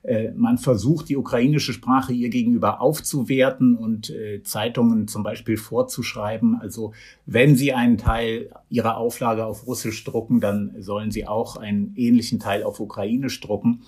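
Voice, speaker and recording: A man reports as a correspondent in a slightly husky voice, explaining things in a measured way. He pauses often and fills the gaps with hesitation sounds as he thinks. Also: lowish voice, steady tone, a little mellow. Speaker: foreign correspondent. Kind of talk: radio interview answers.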